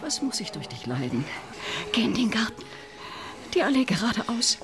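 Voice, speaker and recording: A woman's voice: fairly high pitch, big pitch swings, moderate pace, noisy background. An actress playing a lovesick married society lady in a radio play, pleading and reproachful in melodramatic outbursts. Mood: reproachful, urgent. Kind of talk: German radio play acting.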